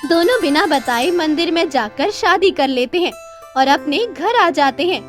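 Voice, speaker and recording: Female narrator for a cartoon moral story: high-pitched, expressive storybook read, faint music underneath. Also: a little slow.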